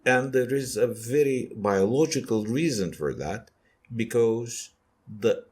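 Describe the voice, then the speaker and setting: A man with a Turkish accent, a guest author in a podcast discussion, speaking slowly with many hesitations. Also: mid-range voice, animated, a little mellow, slightly rough. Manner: slow, hesitant with many fillers.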